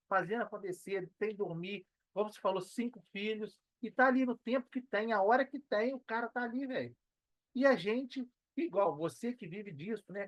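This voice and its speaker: A man's voice: high voice, dry recording, highs cut off. Brazilian male podcast participant. Casual motivational chat, emphatic, repetitive slangy phrasing, dry recording. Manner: emphatic, slangy, repeats himself.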